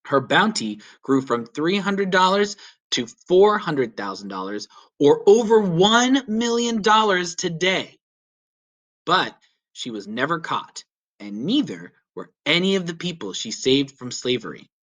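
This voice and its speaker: A man reading a children's story aloud as a teacher would, with clear, deliberate, emphatic phrasing. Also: fairly high pitch, very animated, fairly bright tone. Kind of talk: children's read-aloud narration.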